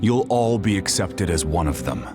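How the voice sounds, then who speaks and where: Grave, deep-voiced game general. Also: male voice, very animated, normal speed, music in the background. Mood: grave, accusing. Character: grave, authoritative male former major general turned defector. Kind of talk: English video game cutscene dub.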